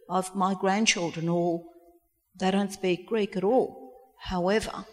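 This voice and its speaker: A woman of sixty, speaking from the audience in a plain, halting, personal way. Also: fairly low pitch. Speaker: audience member at a community talk. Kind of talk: personal comment from the floor. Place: reverberant indoor hall. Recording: echoey hall with long reverb.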